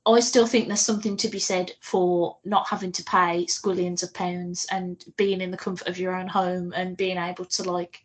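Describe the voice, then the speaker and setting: A woman's voice: lowish voice, little pitch movement, moderate pace, few pauses. A woman with a British accent co-hosting a podcast, chatting casually in a blunt, jokey, colloquial way. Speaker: podcast co-host. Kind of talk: casual chat about films.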